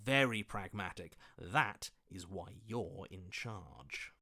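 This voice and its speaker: A man's voice: deep voice, lively intonation, slow pace, vocal fry, bright, forceful tone, exaggerated voice. A man voicing a scheming, self-serving opportunist in a video game, with a sly, ingratiating, theatrical manner. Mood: slyly calculating. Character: scheming, self-serving, weaselly opportunist voice inside the hero's head.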